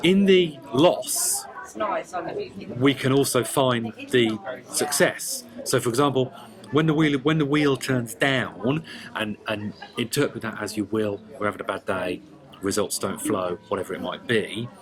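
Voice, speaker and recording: A man explaining a tarot and numerology reading one-to-one, speaking deliberately and emphatically with pauses. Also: medium pitch, a crowd in the background.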